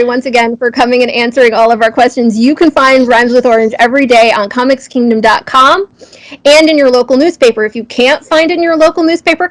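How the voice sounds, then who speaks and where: Higher-voiced woman, enthusiastic interview host. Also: poor audio. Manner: enthusiastic, appreciative. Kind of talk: friendly live interview hosting.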